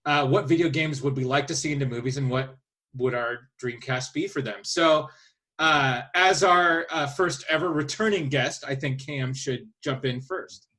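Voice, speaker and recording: A man hosting a podcast in casual chat, talking in a halting, thinking-aloud way. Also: mid-range voice, bright, forceful tone. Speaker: podcast host.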